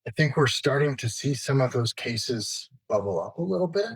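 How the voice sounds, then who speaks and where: A man with a mid-pitched voice talks as an expert guest in an interview. He explains things informally, point by point. The sound is dry, with no room reverberation. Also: animated, normal speed, husky.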